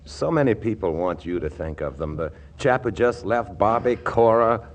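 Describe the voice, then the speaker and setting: A man plays a mild, quietly cheeky oddball in a 1940s radio comedy. His lowish voice moves widely through innocent deadpan, on a noisy old recording. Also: normal speed, close to an everyday voice. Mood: shy, wry.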